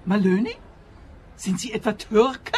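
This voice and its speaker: A woman's voice: mid-range voice, unhurried, a little mellow, lightly acted. An actress playing an indignant, suspicious older lady who scolds self-righteously in a radio play. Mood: scolding, suspicious. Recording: clear noise and ambience behind.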